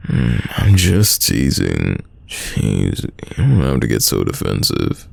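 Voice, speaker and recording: A man acting a teasing, stubborn young friend in an intimate roleplay audio drama, with a very deep, gravelly voice and a casual delivery full of drawn-out sighs. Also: wildly swooping pitch, darkish tone, dry recording, full character voice. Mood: teasing, insistent.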